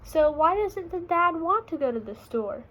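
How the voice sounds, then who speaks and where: A woman reading a picture book aloud to children, explaining simply and pausing to put questions to her listeners. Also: extremely high pitch, animated, a bit bright, low-quality recording. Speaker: read-aloud storyteller.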